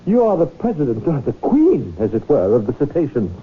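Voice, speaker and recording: An actor playing a fussy, indignant, pompous owner of a beloved animal, pleading theatrically in a 1970s radio drama. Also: male voice, medium pitch, huge pitch swings, fairly quick. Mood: wounded, reproachful. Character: fussy, indignant, melodramatic male whale owner. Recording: noisy, tinny 1970s radio broadcast.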